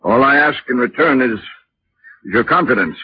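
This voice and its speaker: A man's voice: mid-range voice, expressive, normal speed, lightly acted. A male actor plays a righteous, courteous man of means, formal and a little hesitant, with wide-ranging intonation. It is old-time radio drama, dull and faintly noisy. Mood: awkward, troubled. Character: courteous, righteous wealthy husband and employer. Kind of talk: 1940s radio acting. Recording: muffled, noisy vintage radio broadcast.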